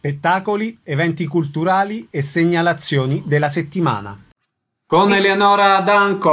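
A man's voice: a little high, a bit bright. A man hosting a radio programme, presenting guests and asking them questions. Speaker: radio host.

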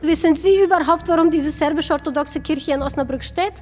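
A woman's voice: high voice, brisk, a little mellow, in a large hall, soft background music, close to an everyday voice. A woman playing a proud, grieving Serbian widow in a German radio crime drama, dignified and defensive. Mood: indignant.

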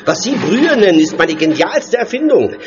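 Wildly theatrical mad villain surgeon. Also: male voice, high-pitched, sing-song, moderate pace, noisy background. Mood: manic outrage. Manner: theatrical, sneering, swinging into hysteria. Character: mad villain surgeon, gloating, taunting, cruel. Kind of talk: German horror radio play acting. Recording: dull muffled audio over loud music.

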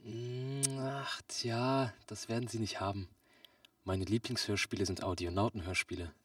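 A man playing a naive, excitable customer in a comic audio play, wide-eyed, puzzled and easily astonished. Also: fairly low pitch, measured pace, no room sound, studio quality, recorded from a distance. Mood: pleased.

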